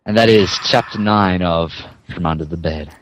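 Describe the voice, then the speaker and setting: A man's voice: low voice, moderate pace, a little hiss or hum, low-quality recording. A man narrating a comic dramatic reading of fan fiction, mock-serious and amused. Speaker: narrator, podcast host.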